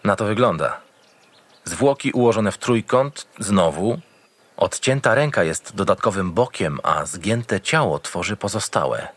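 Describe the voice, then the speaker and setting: An actor playing a gruff, cynical and experienced homicide detective in an audio drama, curt and impatient. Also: male voice, lowish voice, lively intonation, measured pace, dry recording. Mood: brooding, businesslike. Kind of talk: audio drama acting with noir narration.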